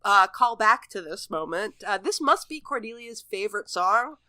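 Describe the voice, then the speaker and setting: Woman, very bright forceful voice, mid pitch, dry sound, casual podcast co-host. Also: animated, normal speed, no room sound. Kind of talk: casual TV episode discussion chat.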